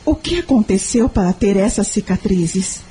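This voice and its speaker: An actress with a Brazilian accent playing a kind young mother in an audio drama, with a soft, sincere manner. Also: medium pitch, lively intonation, normal speed, slightly rough. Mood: curious, shocked. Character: kind, grateful young mother, curious friend. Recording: music behind the voice.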